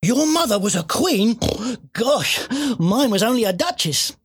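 A woman's voice: on the low side, very animated, a little slow, husky, pitched above the performer's own voice, exaggerated voice. A woman voicing an innocent young male piglet in a children's story, courteous, hesitant and earnest, with well-bred turns of phrase. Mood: polite, curious.

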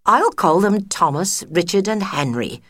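A woman's voice: medium pitch, expressive, unhurried, a bit bright, no room sound, studio quality. A woman voicing a widowed mother mouse, sniffy, resigned and world-weary.

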